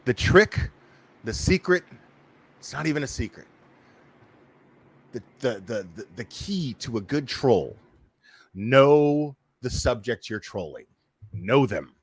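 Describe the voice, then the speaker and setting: A man talks casually as a prankster breaking down his own troll. His voice is mid-pitched and recorded dry, with an informal, profane, emphatic way of repeating himself. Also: sing-song, takes it slowly, long pauses, slightly rough, dry recording. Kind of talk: casual reaction commentary.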